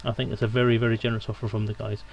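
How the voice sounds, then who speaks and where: A man's voice: lowish voice, little pitch movement, very fast, creaky, dull audio. A man hosting a live stream and running a giveaway in casual, tongue-in-cheek, occasionally profane chat.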